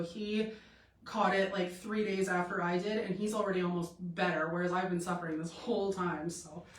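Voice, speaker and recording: A young woman with a cold, sounding stuffed up and a bit nasal, chatting loosely with many fillers as she hosts a clothing try-on live stream from home. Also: mid-range voice. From Canada. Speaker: boutique live-stream host. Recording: echoey room, mic at a distance.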